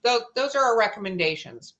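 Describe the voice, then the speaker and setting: A woman's voice: fairly high pitch, expressive, a little fast, fairly bright tone. A woman co-hosting a podcast, chatting casually and giving advice with a few fillers. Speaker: podcast co-host. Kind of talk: casual chat.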